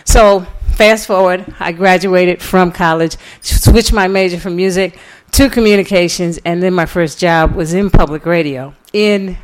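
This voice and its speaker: Low-pitched middle-aged American woman, conversational speaker. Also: measured pace, rough recording. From New York City, USA. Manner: conversational, animated retelling with quoted dialogue and chuckles. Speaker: guest lecturer and author. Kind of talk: informal public lecture, personal storytelling.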